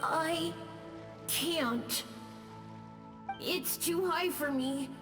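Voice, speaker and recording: A meek little ghost boy in a video game. The voice is high, soft and childlike, speaking hesitantly over clearly audible music. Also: extremely slow. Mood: timid. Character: timid lost ghost of a young boy.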